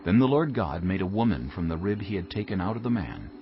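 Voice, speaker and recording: A man narrating a dramatized scripture reading in a solemn storytelling manner with clear phrasing. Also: sits low, sing-song, quick pace, noisy background. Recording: dull muffled audio under music.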